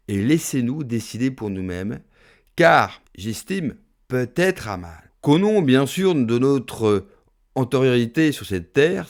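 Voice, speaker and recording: A man's voice: mid-range voice, darkish tone. Man giving sarcastic political commentary. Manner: mocking, ironic, slow deliberate emphasis. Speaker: political commentator, channel host. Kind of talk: sarcastic commentary on video clips.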